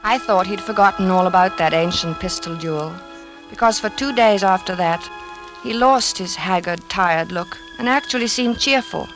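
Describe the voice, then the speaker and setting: An actress playing a gentle, worried wife, mild, hesitant and soothing, in 1940s radio drama style. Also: female voice, mid-range voice, normal speed, music in the background, natural voice, poor audio. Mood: worried narration. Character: gentle, worried, placating wife recounting her husband's obsession. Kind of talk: 1940s radio acting, story narration. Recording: vintage 1940s radio recording.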